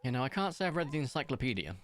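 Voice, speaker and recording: A man streaming from home, chatting casually in a rambling, self-mocking way and pausing often. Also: mid-range voice, big pitch swings, a bit bright. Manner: rambling, self-mocking, hesitant with many ums. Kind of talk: casual chat reading viewer comments while playing.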